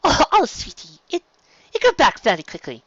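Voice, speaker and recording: A woman's voice: high-pitched, huge pitch swings, moderate pace, a bit bright, dry recording, pitched above the performer's own voice, heavily acted. A woman voicing a refined young mare, courteous and dramatic, with genteel, formal phrasing in a fan story reading. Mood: embarrassed. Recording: slightly dull, lo-fi recording.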